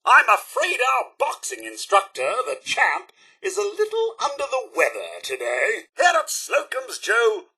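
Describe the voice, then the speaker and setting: A prim British robot butler from a video game, speaking in a very bright, piercing voice. The pitch is high and swoops dramatically through every phrase. He is theatrical and deferential, with a faintly sardonic edge. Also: wildly swooping pitch, unhurried, husky, heavily acted. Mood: cheery announcing. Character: polite, fussy robot butler, prim and eager to serve. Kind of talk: video game companion voice lines.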